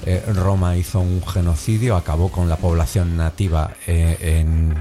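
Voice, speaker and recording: A middle-aged man with a Cantabrian Spanish accent, hosting a casual radio chat in a relaxed, slangy way. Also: low voice, moderate pace. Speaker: radio host. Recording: music playing under the voice.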